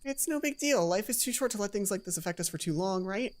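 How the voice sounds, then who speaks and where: A gaming host voicing a gentle, sincere schoolgirl heroine in a visual novel, a little hesitant. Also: medium pitch, lively intonation, fast talker, close to an everyday voice. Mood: reassuring. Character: sensitive, forgiving schoolgirl heroine.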